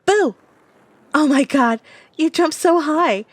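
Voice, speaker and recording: A smug, playful young prankster, played by a woman, banters in a raised, excitable voice. She teases and boasts in a casual comedic audio roleplay with a dry, close sound. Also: high-pitched, slow pace, no echo, pitched above the performer's own voice. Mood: gleeful, teasing.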